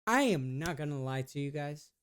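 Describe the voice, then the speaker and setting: A man's voice: on the high side, expressive, normal speed, clear, resonant tone. A man in a casual vlog, reading test questions aloud and answering them in a rambling, hesitant way, with laid-back slangy talk. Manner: rambling and hesitant, with many restarts and trailing off. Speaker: YouTuber.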